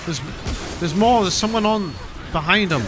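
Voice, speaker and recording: A man's voice: high voice, normal speed. A man playing a co-op video game, chatting casually and reacting with exclamations and swearing. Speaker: video game player in a co-op playthrough. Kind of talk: casual gaming chat, reacting to play. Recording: game music behind the voice. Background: video game music.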